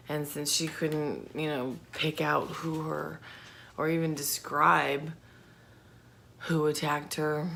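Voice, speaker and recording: A woman with a low voice tells true crime stories at a slow pace. She is informal and conversational, dropping in slangy asides. There is faint noise behind her. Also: vocal fry, a bit bright. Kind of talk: casual true crime storytelling.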